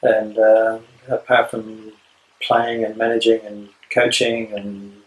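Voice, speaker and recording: An Australian man in his late fifties talking about house sitting, rambling with many pauses and dry jokes. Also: lowish voice, level tone, roomy sound, rough recording. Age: 50s. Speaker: house sitter. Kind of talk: casual self-introduction video.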